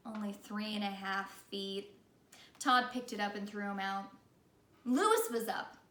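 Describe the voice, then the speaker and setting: A woman reading a children's story aloud in the animated style of a teacher. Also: medium pitch, a little slow, recorded from a distance. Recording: echoey room.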